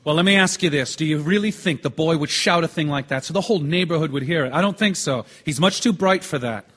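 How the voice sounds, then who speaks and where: An actor playing a calm, persistent juror who doubts and reasons persuasively in an audio drama. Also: male voice, on the high side. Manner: measured, persuasive, questioning. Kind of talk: stage-style audio drama acting.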